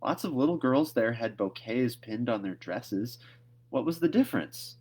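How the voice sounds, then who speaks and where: A man's voice: medium pitch, lively intonation, normal speed, a little mellow, slightly rough. Guy doing dramatic young orphan girl in a storybook, earnest n plaintive, chatty. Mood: protesting.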